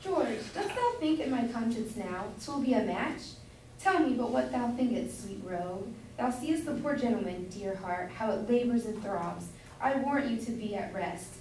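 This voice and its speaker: A woman's voice: a little high, animated, normal speed, a little mellow, natural voice. A young woman playing a fond, meddling busybody wife in an amateur staged play reading. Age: young adult. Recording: echoey hall from far off, noisy.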